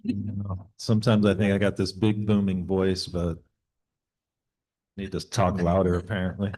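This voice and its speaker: Mayor type, man, very low voice, stop-start delivery full of ums, sits back from the mic. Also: little pitch movement, normal speed, a little mellow, poor audio. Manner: hesitant, many fillers and restarts. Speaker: mayor presenting a budget. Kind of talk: public meeting remarks. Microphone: table mic at some distance.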